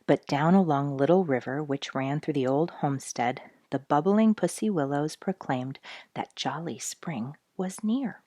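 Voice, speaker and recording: A woman's voice: low-pitched, a little slow, mellow, no echo, studio quality. A woman hosting a children's story reading, addressing young listeners in a friendly way as she narrates and chats. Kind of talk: read-aloud children's narration with chatty asides.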